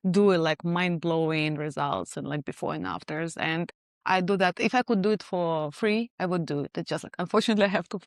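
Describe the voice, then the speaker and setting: A woman in her late thirties with a Russian accent, talking casually as an interview guest, full of fillers and quick laughs. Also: on the low side, no echo, studio quality. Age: 30s. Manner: chatty, with many fillers and frequent laughs. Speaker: guest, beauty specialist.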